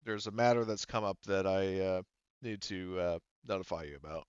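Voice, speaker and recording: Slightly dull, lo-fi, close sound carries a man's low voice. He is a game master at a tabletop session, explaining in an informal, halting way with a smooth tone. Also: no room sound. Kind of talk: casual tabletop game narration and chat.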